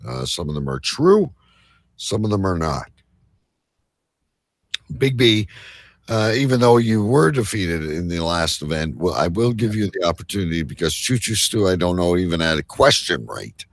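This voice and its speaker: Low-voiced, animated male game show host. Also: normal speed, dry recording. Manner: showman-like announcing, addresses audience. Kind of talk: live quiz show hosting.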